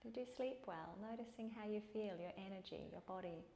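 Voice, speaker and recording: A woman teaching yoga and guided meditation in a calm, soothing way, with unhurried pauses between phrases. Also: mid-range voice, creaky, a little hiss or hum. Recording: echoey room.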